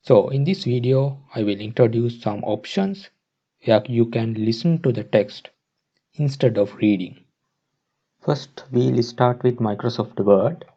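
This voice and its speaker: Man with dull-sounding, creaky tutorial voice. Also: mid-range voice, lively intonation, measured pace, mellow. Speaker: tutorial presenter. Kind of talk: instructional screen-recording narration.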